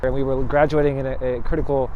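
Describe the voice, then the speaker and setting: Man coach, middle pitch, thoughtful interview answers, faint outdoor hum. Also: flat delivery, narrow, tinny audio. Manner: measured, thoughtful with fillers. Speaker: soccer coach.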